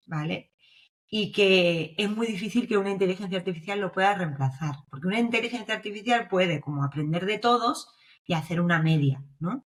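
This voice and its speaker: A woman's voice: fairly low pitch, fairly bright tone. A young woman from Spain with a Spanish accent, explaining her views as a guest in a casual podcast interview and often checking in with her listener. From Navarre, Spain. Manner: explanatory, checks in with frequent tag questions. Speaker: guest, developer advocate.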